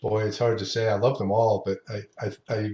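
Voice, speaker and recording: A man in his late fifties, the guest on a podcast, speaks in a lowish voice. He talks in an unhurried, halting way, often restarting his sentences, and the room around him rings with a noticeable echo. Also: level tone, dark tone. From Utah. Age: 50s. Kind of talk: casual interview chat. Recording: roomy echo.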